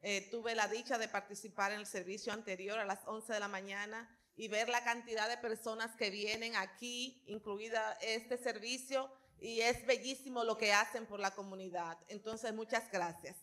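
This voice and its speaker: A woman's voice: on the high side, fairly even intonation, moderate pace, hardly any pauses, sharp tone. A middle-aged woman with a Dominican accent, a town mayor speaking to a church congregation as a guest. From Dominican Republic. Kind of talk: public address to a congregation. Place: large church auditorium stage. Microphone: handheld microphone.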